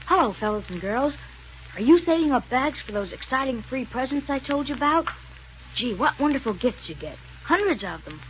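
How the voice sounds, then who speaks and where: A performer playing a chipper boy mascot pitching prizes to children in a 1930s radio advertisement. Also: big pitch swings, moderate pace, fairly bright tone, lo-fi sound. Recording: noisy 1930s radio recording.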